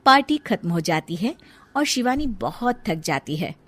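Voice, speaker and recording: A woman's voice: medium pitch, sing-song, moderate pace, fairly bright tone, no room sound. A woman narrating a scripted family folk tale as a storyteller.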